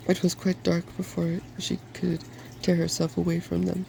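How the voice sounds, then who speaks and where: A soft-spoken man reading a fairy tale aloud in ASMR style, in a gentle, measured storytelling manner. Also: high-pitched, completely flat delivery, vocal fry, slight background noise. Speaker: storybook reader.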